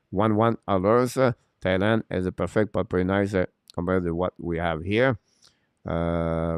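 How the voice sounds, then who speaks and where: Man, lowish smooth voice, dry close sound, opinionated live sports commentary. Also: dry recording. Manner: opinionated, emphatic, repeats points. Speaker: hockey live-stream host. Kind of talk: unscripted sports commentary, answering live chat.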